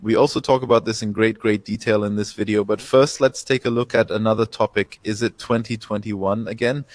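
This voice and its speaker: A man's voice: on the low side, no room sound. A man hosting a motor racing show, reading scripted introductions and putting questions to his guest. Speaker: host.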